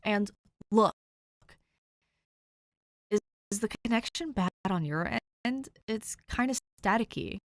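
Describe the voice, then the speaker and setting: A woman's voice: mid-range voice, animated, slow pace, pauses often, no room sound, studio quality, pitched above the performer's own voice. A woman voicing a young man on a phone call who sounds wrung out and apologetic. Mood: weary.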